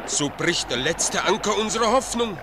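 A man's voice: high-pitched, expressive, normal speed, background noise. An anxious old fisherman. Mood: lamenting. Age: older. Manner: fervent, lamenting. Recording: storm sound effects behind.

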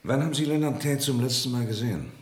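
An actor playing a senior police commissioner, measured and gently ironic, in a radio crime drama. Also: male voice, mid-range voice, lively intonation, echoey room, close to an everyday voice. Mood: wry. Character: calm, wry, senior male police commissioner.

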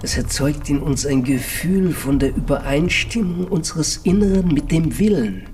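An actor playing an eccentric philosophical mentor in a radio drama, musing and lecturing with contemplative assurance. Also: male voice, on the high side, lively intonation, moderate pace, darkish tone, soft background music. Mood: contemplative, assured. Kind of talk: German literary radio drama.

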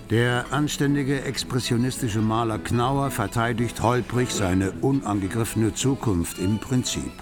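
A man acting as the wry narrator of a literary radio play about bohemian Berlin, articulate and measured in a declamatory storytelling way. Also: on the low side. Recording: music behind the voice.

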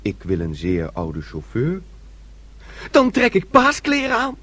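A man acting in a radio drama as a naive, childlike son who dreams aloud in a rambling, excitable way. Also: on the high side, slow pace, breathy edge, full character voice. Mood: musing, excited.

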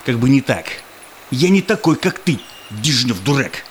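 Cynical, menacing Cossack villain, verse-speaking audio drama role, lively intonation, slight background noise. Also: male voice, mid-range voice, measured pace. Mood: sly, scornful. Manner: threatening, scheming, speaks in rhymed verse. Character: greedy, menacing Cossack tax collector, cynical bully. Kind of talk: theatrical audio drama acting.

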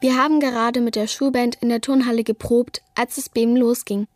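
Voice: mid-range voice, level tone, fairly quick, faint music behind, lightly acted. A female speaker voicing a frightened schoolgirl giving scripted radio testimony about an earthquake. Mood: anxious, earnest.

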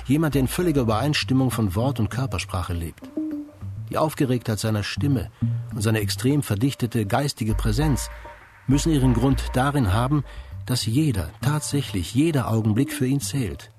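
A man narrating a radio feature in a measured, composed, scripted way. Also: medium pitch, lively intonation, a little mellow, soft background music.

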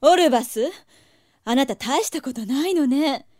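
A woman's voice: high voice, lively intonation, normal speed, darkish tone, no room sound, clean studio sound. A woman playing a proud, jealous, passionate succubus in an audio drama, haughty and emotionally intense. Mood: haughty, scornful. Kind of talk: anime-style audio drama acting. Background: music.

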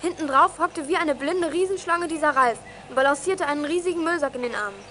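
Child detective, very high, bright, clipped radio-protocol delivery, children's audio drama, faint noise. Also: quick pace. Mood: brisk, alert. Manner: clipped, matter-of-fact reporting. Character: businesslike child detective reporting by walkie-talkie. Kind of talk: German children's radio play acting, radio protocol.

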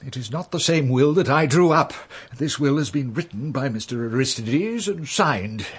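An actor playing an affronted, pompous family solicitor in a radio drama, formal and indignant. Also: male voice, mid-range voice, lively intonation, normal speed, slightly rough.

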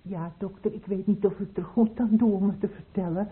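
Anxious middle-aged mother played by an actress for radio drama, mid-pitched, fretful and hesitant, narrow muffled recording. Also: fairly even intonation, a little fast, natural voice. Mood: hesitant confiding.

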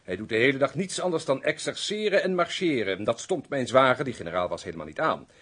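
An actor playing a courtly, wry military major in a radio drama, speaking in a formal and gallant manner. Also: male voice, mid-range voice, expressive, fast, a bit bright, slightly rough. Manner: formal, gallant, muttering asides.

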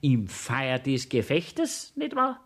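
An actor playing a sly, nosy court clerk in a Bavarian dialect radio play, probing and insinuating with mock concern. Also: male voice, medium pitch, wildly swooping pitch, slow, a bit bright, heavily acted. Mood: needling.

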